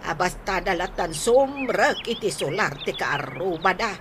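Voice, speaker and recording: Slow, swooping Ilocano village neighbour played by a woman. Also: on the low side, sing-song. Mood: agreeing. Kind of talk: comedy radio drama acting. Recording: noisy track with chirping birds.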